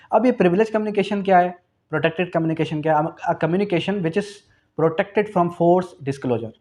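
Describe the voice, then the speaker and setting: Male law lecturer, Pakistani accent, slightly high voice, didactic delivery built on self-answered questions and repetition. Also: darkish tone. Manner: repeats key phrases for emphasis; switches between Urdu and English terms. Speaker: law teacher. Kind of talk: exam revision lecture.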